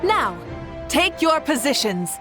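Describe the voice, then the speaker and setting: Regal warrior boss woman in a game, measured and rousing, slow, music behind her. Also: high voice, sing-song, heavily acted. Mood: rousing, resolute. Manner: measured, stately. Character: commanding, noble warrior-leader woman, calm and rousing. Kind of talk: video game voice acting.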